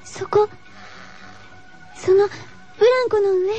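A woman's voice: extremely high pitch, steady tone, slow pace, mellow. A woman playing an earnest, fearful schoolgirl class monitor who senses eerie things, in a horror radio drama. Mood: frightened, entranced. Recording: dull lo-fi radio recording with music.

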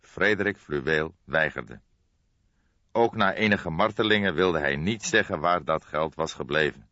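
A man with a low voice narrates a crime audiobook. His delivery is measured and scripted. The sound is somewhat muffled and close, with very little room in it. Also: pushed and bright, no echo.

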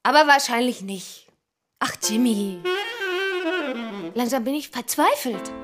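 An actress playing a chatty, teasing, moody out-of-work young stage performer in a radio drama, breezy and dramatic. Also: female voice, a little high, unhurried, over loud music, natural voice. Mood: despondent. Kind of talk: naturalistic radio drama acting.

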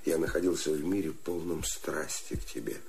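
An actor playing a feverish, lovesick and regretful male poet in a radio play, declaiming in halting short phrases. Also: a bass voice, vocal fry, darkish tone, a little hiss or hum. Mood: dreamy adoration. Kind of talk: theatrical poetic radio play acting.

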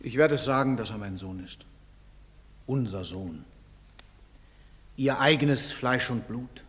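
A man's voice: mid-range voice, animated, slow pace, fairly bright tone. An actor playing a tormented, guilt-ridden father with an anguished, emphatic theatrical delivery. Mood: tormented resolve. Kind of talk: theatrical stage drama acting. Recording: reverberant theatre stage recording.

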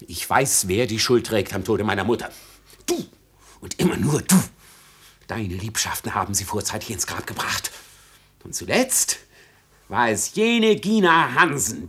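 Husky, bitter accusing son. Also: male voice, on the low side, sing-song, normal speed, a bit bright, heavily acted. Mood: furious accusation. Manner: intense, sardonic, accusatory. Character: bitter, accusing grown son, idealistic and unforgiving. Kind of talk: radio play acting of classic stage drama.

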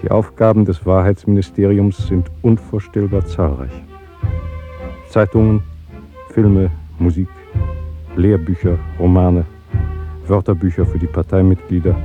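Wary disillusioned party worker, dystopian radio drama, low deep voice, deliberate, faint music behind, muffled vintage sound. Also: male voice, fairly even intonation. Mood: grave, measured. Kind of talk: German radio drama acting. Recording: dull 1970s radio production, faint music.

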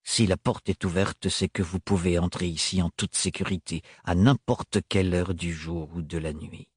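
A man reading aloud and voicing a tall, stern, gruff man who speaks curtly and flatly. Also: deep voice, moderate pace, no echo, clean studio sound, pitched below the performer's own voice. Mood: awkward. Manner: curt, gruff, flat like an accountant.

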